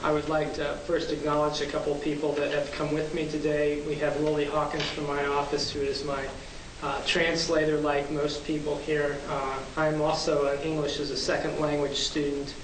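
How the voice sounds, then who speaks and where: A man's voice: fairly high pitch, completely flat delivery, moderate pace. A man from Kentucky, an immigration attorney, opens a forum talk with polite remarks full of "uh" fillers. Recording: echoey hall, distant mic, hiss.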